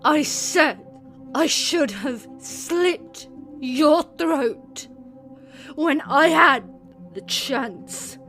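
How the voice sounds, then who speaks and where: A woman's voice: high voice, animated, extremely slow, fairly bright tone, exaggerated voice. A woman playing a defiant, anguished young survivor in an audio horror drama, with bitter, emotional delivery. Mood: bitter defiance. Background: dramatic music.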